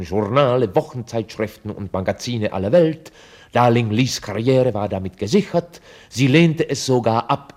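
An actor playing a dry, ironic narrator, reading scripted radio play narration like a documentary report. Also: male voice, medium pitch, darkish tone.

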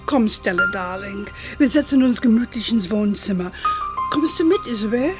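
A woman playing a fond older grandmother who tells stories at an unhurried pace in a children's radio play. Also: on the high side, lively intonation, music in the background, close to an everyday voice. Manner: unhurried, fond, sprinkles English endearments.

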